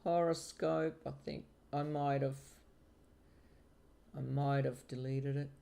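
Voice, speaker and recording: A middle-aged woman from Finland hosts a casual palmistry livestream, and her speech is slow and unhurried. She talks hesitantly, often trailing off into fillers and starting her sentences over. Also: extremely low pitch, fairly even intonation, vocal fry, dark tone. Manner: hesitant, halting with many fillers and restarts. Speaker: palmistry teacher and channel host.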